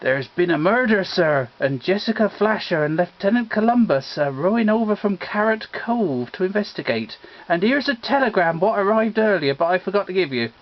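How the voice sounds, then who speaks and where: Male reader playing tiny bearded gnome servant; deferential, rustic turns of phrase, lively pitch, muffled recording. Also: normal speed. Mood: urgent. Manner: deferential, colloquial.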